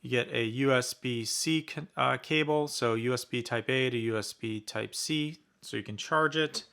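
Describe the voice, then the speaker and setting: Bright-voiced man reviewing a product casually. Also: mid-range voice, normal speed, creaky, clear, resonant tone. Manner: casual, conversational. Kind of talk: casual unboxing commentary. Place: indoors in a basement.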